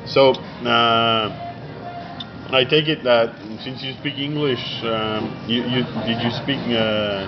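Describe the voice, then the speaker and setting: A man's voice: mid-range voice, fairly even intonation, slow. A man from Argentina interviewing a guest in a casual, hesitant way, with many fillers. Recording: dull recording with music behind.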